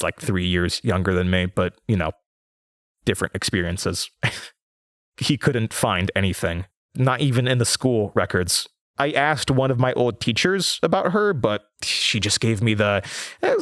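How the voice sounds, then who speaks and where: A man with an American accent playing a haunted young radio host in a horror audio drama, talking in a halting, nervous, rambling way. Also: fairly low pitch, a bit bright, no room sound, clean studio sound. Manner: halting, stammering, conversational.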